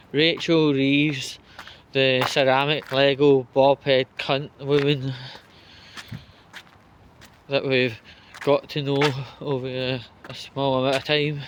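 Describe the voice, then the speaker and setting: Slow, halting man on a sardonic rant. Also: a little high, a little hiss or hum. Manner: sweary, sardonic, halting in short phrases. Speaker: vlogger giving political commentary. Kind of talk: off-the-cuff spoken rant.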